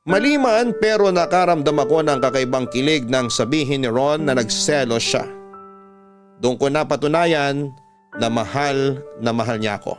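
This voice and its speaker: A man's voice: mid-range voice, normal speed, music in the background. A man narrating a scripted first-person love story in the style of a classic radio drama.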